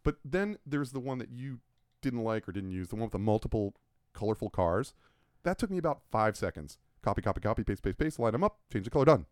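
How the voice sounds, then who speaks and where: A man's voice: medium pitch, expressive, brisk, a little mellow, no room sound, clean studio sound. A man co-hosting a casual podcast chat, rambling and self-mocking as he tells stories. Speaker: podcast co-host and logo designer.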